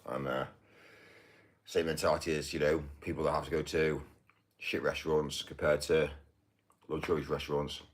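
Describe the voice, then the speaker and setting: A British man with a deep voice, chatting casually on a livestream in a halting, mumbly way full of fillers. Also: level tone. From United Kingdom. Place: indoors, getting ready to go out.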